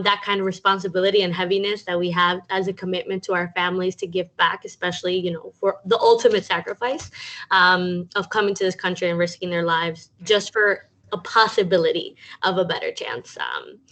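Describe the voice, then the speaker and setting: A woman's voice: fairly low pitch, pushed and bright. A young woman from Cuba giving a casual talk over a video call, chatty and full of um fillers. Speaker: guest speaker.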